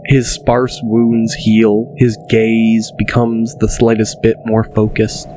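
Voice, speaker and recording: A man narrating a scripted audiobook dramatically, in a deliberate, descriptive way. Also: lowish voice, monotone, dark tone, slight background noise. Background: music.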